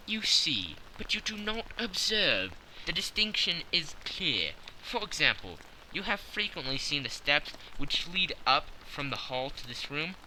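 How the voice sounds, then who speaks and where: A man voicing a brisk, sharp-minded Victorian detective who lectures with crisp self-assurance in an audiobook. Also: fairly high pitch, big pitch swings, a little hiss or hum, full character voice, rough recording. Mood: lecturing. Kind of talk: audiobook character reading.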